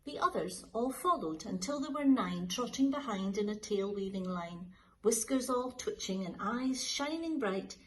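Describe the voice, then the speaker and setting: A woman teacher reading a children's picture book aloud in a slow, lilting, expressive way. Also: medium pitch, slight background noise, low-quality recording. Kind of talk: storybook read-aloud for children.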